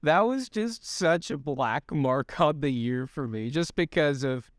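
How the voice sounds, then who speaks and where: A young man co-hosting a casual podcast, animated and swearing freely, often restarting sentences. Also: fairly high pitch, measured pace, no room sound, studio quality. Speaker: podcast co-host. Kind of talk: casual chat.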